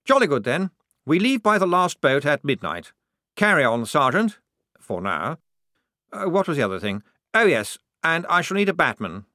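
A man's voice: fairly high pitch, sing-song, normal speed, sharp tone, no echo, studio quality, pitched above the performer's own voice. A man voicing a nervous, pompous but well-meaning army lieutenant in an audiobook, speaking in a politely chipper, hesitant way.

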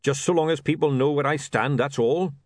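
A man's voice: on the high side, fairly quick, no room sound, clean studio sound, pitched above the performer's own voice, close to an everyday voice. A man voicing a blunt, tactless male dwarf in a storybook reading. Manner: blunt, gruff.

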